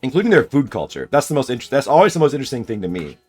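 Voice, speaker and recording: A man's voice: mid-range voice, sing-song, very fast, a little mellow, husky, faint music behind. A man streaming a game and chatting casually, thinking aloud in a rambling way and answering chat messages.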